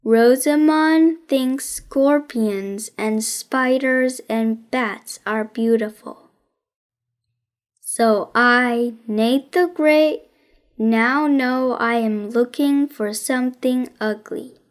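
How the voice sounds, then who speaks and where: Woman voicing a slow, deadpan boy detective. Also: medium pitch, smooth. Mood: deadpan, deliberate. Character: deadpan boy detective, serious and self-important.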